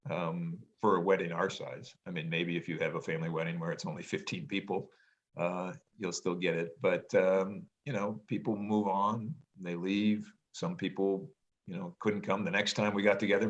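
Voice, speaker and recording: A middle-aged man musing in a casual family podcast chat, pausing often with ums and restarts and talking in a loud voice. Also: low-pitched, highs cut off. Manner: hesitant, many ums and restarts, reflective; says he has a loud voice. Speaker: podcast co-host, parent.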